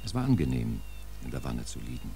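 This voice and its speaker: A man's voice: extremely low pitch, very animated, a little fast, creaky, mellow, heavily acted. A man playing the grave narrator of a scripted horror audio drama. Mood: ominous calm. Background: prominent music.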